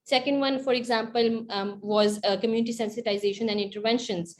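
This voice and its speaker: A woman's voice: a little high, fairly even intonation, fairly quick. A woman giving explanatory advice as a panelist, repeating and rephrasing her points. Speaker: panelist, grant recipient.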